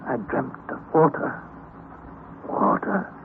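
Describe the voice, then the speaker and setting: A man's voice: slow pace, lightly acted. A male actor playing a young adventurer who narrates his own journey in an old radio drama, in an earnest, dramatic manner. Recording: old lo-fi radio broadcast, faint hiss.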